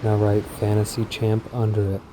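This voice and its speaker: A man speaking in a soothing, measured, deliberate way with long pauses, as in a guided sleep meditation. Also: fairly low pitch, completely flat delivery, slight background noise. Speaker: podcast host. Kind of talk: guided sleep meditation, parody hypnosis script.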